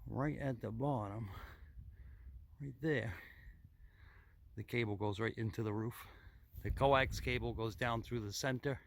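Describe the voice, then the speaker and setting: A noticeably noisy camera recording carries a man's mid-pitched voice. He narrates a hands-on hobby video casually, with an upbeat, folksy manner. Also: unhurried, gravelly, pauses often. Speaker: radio hobbyist vlogger. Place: outdoors and in a home radio room. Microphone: handheld camera mic.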